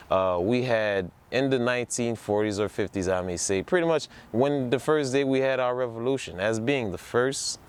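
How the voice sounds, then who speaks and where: A man from New Orleans talks casually and enthusiastically as a presenter, repeating words for emphasis. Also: lowish voice. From New Orleans, United States. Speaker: presenter introducing a music production project. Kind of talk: casual informal talk to camera. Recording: music playing behind the voice. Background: music.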